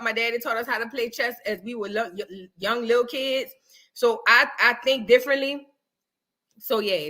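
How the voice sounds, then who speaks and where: A woman from New Orleans with a New Orleans accent hosts a casual livestream, her voice bright and forward. She sits a touch higher than most women and chats loosely with her viewers. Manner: warmly thanks viewers, calls them baby. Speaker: livestream host.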